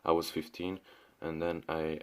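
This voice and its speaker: A young man from Syria rants to his camera with a very low voice. He talks casually and loosely, tripping over restarts and fillers, dropping swear words and "bro" as he goes. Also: flat delivery, unhurried, darkish tone, clear, resonant tone. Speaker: vlogger. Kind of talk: casual ranting monologue.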